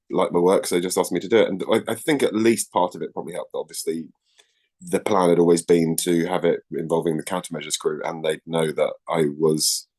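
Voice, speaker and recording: A man's voice: low-pitched, a little mellow. A man being interviewed as a writer and script editor, recalling casually in a tentative, hedging way.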